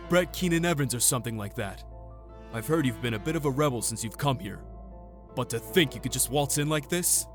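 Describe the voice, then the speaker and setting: A proud teenage fighting-clan boss voiced by a man, irritable and full of scorn. His fairly high male voice orders and sneers in visual novel style, with music clearly playing behind it. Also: natural voice. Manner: scornful, commanding, sarcastic.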